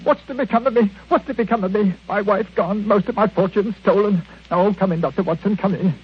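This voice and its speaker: An actor playing a bitter, self-pitying elderly husband in a querulous, theatrical 1940s radio drama style. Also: male voice, high voice, fairly quick, music in the background, close to an everyday voice. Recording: muffled, noisy vintage radio recording.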